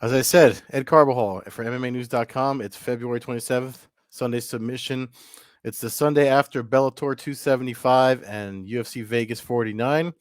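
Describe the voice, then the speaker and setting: A man's voice: medium pitch, steady tone, fairly quick, no room sound, studio quality. A man hosting a casual sports news video, slightly congested from a cold and rambling with lots of fillers. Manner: rambling, many fillers and restarts. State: congested, getting over a cold. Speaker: MMA news host. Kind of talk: casual solo commentary to camera. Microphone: camera mic.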